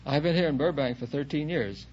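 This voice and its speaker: A man's voice: a little high, brisk, darkish tone, husky. A man from New York City giving conversational interview answers as a quiz show contestant. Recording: dull, hissy old radio recording.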